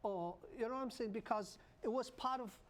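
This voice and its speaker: A man from Portugal, co-hosting a casual political chat and often pausing to search for words. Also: very high voice. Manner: halting, searching for words, repeats himself. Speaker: talk show co-host.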